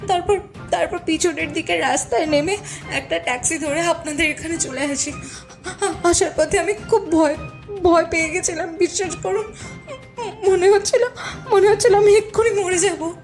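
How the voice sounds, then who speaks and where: A woman's voice: very high-pitched, moderate pace, soft background music. A terrified, stammering young woman. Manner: breathless, stammering, near tears. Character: frightened young woman fleeing thugs.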